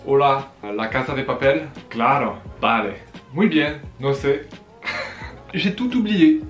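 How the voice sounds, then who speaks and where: A man's voice: mid-range voice, animated, slow. Young man, from France, casual vlog host, playful, self-mocking, echoey room, faint background music. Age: young adult. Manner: playful, jokey, laughs at himself. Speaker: vlogger answering viewer questions. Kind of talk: casual Q&A vlog, switching between French and Chinese.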